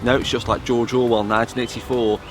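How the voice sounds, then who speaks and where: A man's voice: medium pitch, level tone, fast talker. British man chatting over outdoor noise. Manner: chatty, informal storytelling. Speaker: vlogger presenting old public information films. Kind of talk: casual commentary to viewers.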